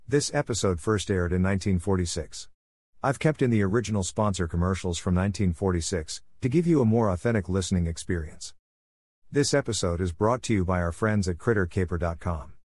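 A man's voice: sits low, darkish tone, no room sound. A man hosting a classic radio series, reading a folksy scripted introduction. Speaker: online host introducing old radio episodes.

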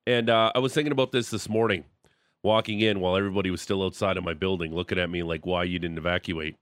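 A man's voice: low-pitched, steady tone, fast, a bit bright, clear, resonant tone, no echo, clean studio sound. A man with an Ontario accent hosting a sports radio show, chatting casually and poking fun at himself. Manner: chatty, self-mocking. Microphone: studio mic.

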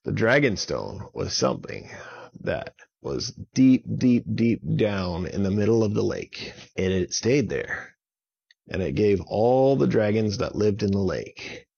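A man tells a children's bedtime story at an unhurried pace, with a gravelly edge to his voice. His phrasing is simple and repetitive for young listeners, and the recording sounds slightly dull. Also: on the low side, animated, a little mellow. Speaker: children's story podcast host and narrator.

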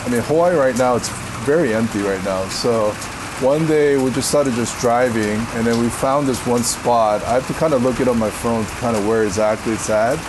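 A man from Korea with a deep voice, answering interview questions in a rambling, casual way with many fillers. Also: normal speed, background noise. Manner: rambling, filler-heavy, laughs easily. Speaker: interview guest.

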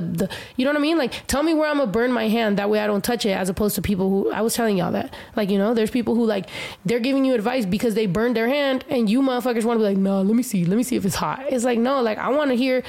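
A woman's voice: a little high, fast talker, darkish tone. A woman with an American accent chatting casually as a music artist, blunt and opinionated, with lots of slang and swearing. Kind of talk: casual chat.

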